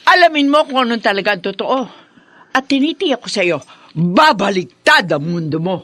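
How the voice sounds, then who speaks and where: A woman's voice: mid-range voice, sing-song, moderate pace, a bit bright. A woman acting a vengeful, taunting mistress who claims to be the lawful wife, in a Filipino radio drama. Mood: gloating, vindictive. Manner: taunting, menacing, theatrical.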